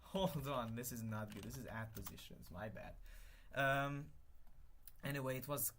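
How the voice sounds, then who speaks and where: A man's voice: mid-range voice, a little slow, a bit bright, a little hiss or hum. A young man whose first language is Hungarian, chatting casually on a live stream while he works, rambling and correcting himself often with many fillers. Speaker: livestreamer.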